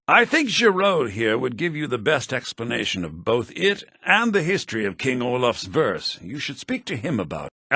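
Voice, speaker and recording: An actor playing an earnest, theatrical head bard in a fantasy video game, speaking formally with a slightly raspy voice. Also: male voice, medium pitch, a bit bright, no echo, clean studio sound, full character voice. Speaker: game character, bard college leader.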